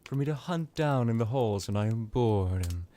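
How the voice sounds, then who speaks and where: A man voicing a seductive, menacing vampire necromancer in an intimate ASMR hypnosis roleplay, purring deliberately and slipping into whispers. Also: medium pitch, expressive, mellow, slight background noise, lightly acted. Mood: condescending, possessive.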